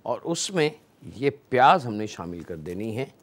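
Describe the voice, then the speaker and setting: A man's voice: fairly low pitch, big pitch swings, unhurried. A man with a Pakistani Urdu accent hosting a cooking show, politely addressing viewers as he explains each step of the recipe.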